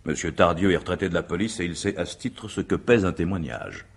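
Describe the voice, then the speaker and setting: A very formal examining magistrate in a radio mystery play. His voice is low and his phrasing measured. He probes methodically and pedantically, suspicious of the witness he questions. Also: expressive, creaky, husky, full character voice. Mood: dry, insistent. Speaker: actor.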